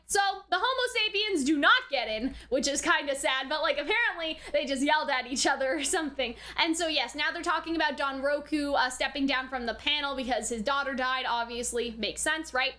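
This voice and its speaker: Bright, high-pitched woman reviewer. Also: lively intonation, a little fast, few pauses. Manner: chatty, often checking with "right?". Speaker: host of an anime reaction and review channel. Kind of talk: casual commentary and episode recap.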